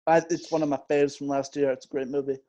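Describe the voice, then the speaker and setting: A man's voice: mid-range voice, little pitch movement, quick pace, warm tone, highs cut off. A young man co-hosting a casual film podcast, joking and teasing his co-host, with hesitant fillers.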